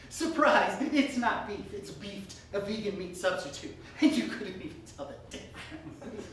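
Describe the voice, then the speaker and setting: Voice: medium pitch, expressive, normal speed, a bit bright, close to an everyday voice. A storyteller voicing a smug, gleeful fairytale pig who gloats like a salesman over a prank. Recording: echoey live room, distant mic, background noise.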